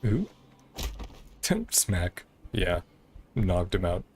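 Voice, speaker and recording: A man's voice: deep voice, big pitch swings, extremely slow, darkish tone. A man casually commentating as he plays a game, reacting and reading bits of dialogue aloud. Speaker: game streamer.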